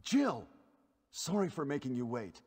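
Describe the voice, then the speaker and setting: A man's voice: medium pitch, sing-song, a little slow. A man voicing an earnest operative in stilted 1990s horror game acting. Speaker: video game actor. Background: loud game music.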